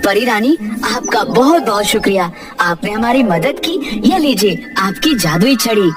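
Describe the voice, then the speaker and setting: A woman's voice: mid-range voice, animated, unhurried, lightly acted. A woman voicing an earnest, brave young villager in a children's cartoon, dramatic, over music. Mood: thankful. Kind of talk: children's cartoon story dub. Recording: music under the voice.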